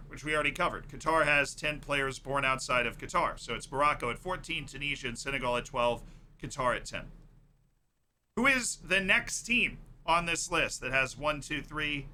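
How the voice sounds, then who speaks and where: A man's voice: a little high, pushed and bright, slight background noise. A man hosting a casual live stream, quizzing his viewers in a playful, teasing, emphatic way.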